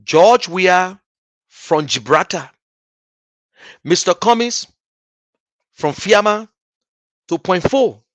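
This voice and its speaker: A Liberian man is talking politics straight to his viewers. His pace is very unhurried, and he starts off soft and quiet. Then he swells into loud, heated emphasis. The sound is close and dry. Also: high-pitched, expressive, no echo. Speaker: political commentator.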